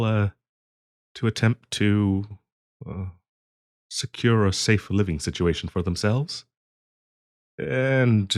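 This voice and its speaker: Man playing half-dragon broker and diplomat for fantasy roleplay audio: lowish voice, very animated pitch, polite, earnest, hesitant. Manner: hesitant, polite, halting with frequent ums and soft chuckles. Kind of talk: roleplay audio acting, addressing the listener.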